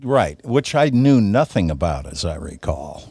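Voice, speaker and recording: A man with a lowish voice chats as a radio co-host. His pitch moves around dramatically, yet he hesitates and stays noncommittal. The microphone sound is close and dry. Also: very animated, measured pace, creaky, warm tone, breathy edge, dry recording. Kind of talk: casual chat. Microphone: broadcast dynamic microphone.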